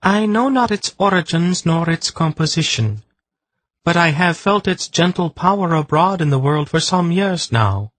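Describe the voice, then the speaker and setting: A man narrating an audiobook voices a solemn blindfolded woman seeress who speaks in slow, archaic, formal phrases. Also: fairly high pitch, expressive, a bit bright, dry recording, pitched above the performer's own voice, low-quality recording. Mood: earnest. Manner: archaic formal diction, slow drawn-out pleading.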